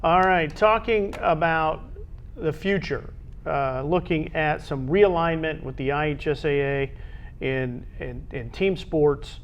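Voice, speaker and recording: An American man co-hosting a local school sports show, talking conversationally and haltingly, often repeating words as he goes. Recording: dull lo-fi audio with low rumbling noise.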